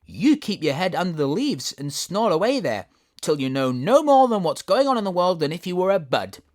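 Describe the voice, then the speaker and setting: A proud, impatient tiger lily flower, heard as a storyteller puts it on in a high, loud, slow voice that swoops widely. It scolds and lectures, every word stretched out. A storybook reading. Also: very animated, natural voice. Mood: indignant.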